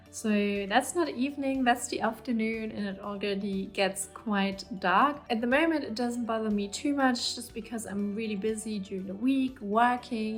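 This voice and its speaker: A woman in her thirties from Germany with a German accent, chatting casually and upbeat to camera in a vlog, often saying "you know". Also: a little high. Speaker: vlogger.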